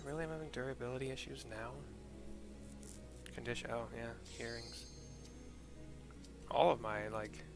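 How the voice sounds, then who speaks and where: Male gaming narrator: casual, offhand, some fry in the voice, medium pitch, music behind. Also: sharp tone. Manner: offhand, muttering asides, mild swearing. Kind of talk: casual playthrough narration with scene description.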